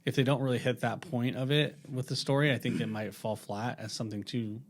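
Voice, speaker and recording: A man's voice: mid-range voice, little pitch movement, fairly quick. A man talking casually as a podcast panelist, explaining things in an eager, repetitive way. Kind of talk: casual group discussion.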